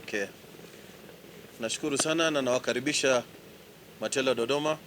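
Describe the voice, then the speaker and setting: A middle-aged man from Tanzania, a company managing director explaining his business in a measured way and switching between languages. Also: medium pitch, crowd noise. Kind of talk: business presentation. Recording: noisy on-site recording.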